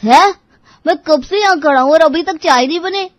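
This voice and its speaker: A woman voicing a whiny, reluctant young boy who grumbles about errands in an animated moral story. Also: very high-pitched, moderate pace, no echo. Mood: indignant. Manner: grumbling, protesting.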